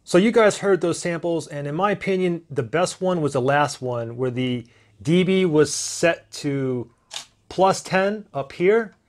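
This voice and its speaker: A man in his thirties talking through a camera microphone test step by step, in a relaxed way with fillers, recorded indoors on a camera-mounted shotgun mic. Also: on the high side, unhurried. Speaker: gear reviewer. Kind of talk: casual how-to walkthrough. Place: indoor room, desk demo.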